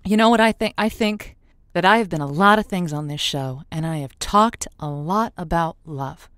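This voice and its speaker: A woman's voice: on the low side, normal speed, fairly bright tone, smooth, no echo, studio quality, lightly acted. An actress playing an earnest, folksy woman who hosts a radio dating-advice show, chatty and playful. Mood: earnest, encouraging. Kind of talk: audio drama acting as late-night radio host.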